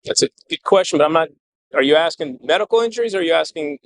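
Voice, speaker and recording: Measured, halting male police chief, dry sound. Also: on the high side, lively intonation, fairly bright tone, dry recording. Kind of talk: press conference statement and answers. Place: press briefing at a hospital.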